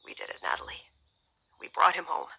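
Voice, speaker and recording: A woman voicing a protective, loving young father in a soft, breathy voice thick with emotion, in an audio story. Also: low-pitched, a little fast, pitched below the performer's own voice, exaggerated voice. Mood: tender, relieved.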